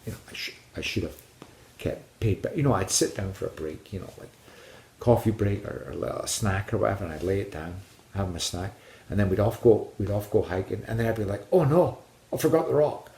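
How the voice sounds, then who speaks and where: A man with a fairly low voice shares personal stories as a casual hiking vlogger. He rambles gently and haltingly, often repeating a phrase before moving on. The room around him is clearly echoey. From Scotland. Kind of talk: casual personal storytelling to viewers.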